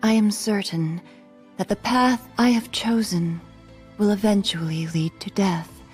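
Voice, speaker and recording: A woman's voice: medium pitch, a little slow, soft background music, close to an everyday voice. An actress playing a stern, dutiful female royal guard commander in a video game, formal and solemn. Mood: solemn resolve. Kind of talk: dramatic video game acting.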